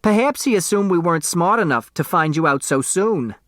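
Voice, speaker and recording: A man's voice: high voice, expressive, brisk, fairly bright tone, no room sound, pitched above the performer's own voice. Guy reading as a pushy storybook lady secretary, declares everything, very dry close sound. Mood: argumentative. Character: shrill, insistent woman secretary reading out and arguing.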